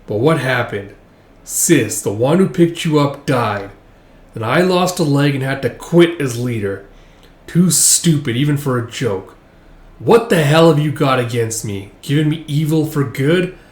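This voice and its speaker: A man's voice: medium pitch, expressive, unhurried, a little mellow, close to an everyday voice. Man playing a bitter, cruel mercenary leader, echoey. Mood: bitter, accusing. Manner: harsh, menacing, accusing. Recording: echoey room.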